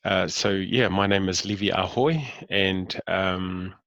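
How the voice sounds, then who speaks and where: A man's voice: low-pitched, takes it slowly, gravelly, fairly bright tone, no echo, clean studio sound. A man in his fifties with a New Zealand accent, talking about himself in a casual interview, hesitant with many fillers and restarts. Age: 50s. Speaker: interview guest.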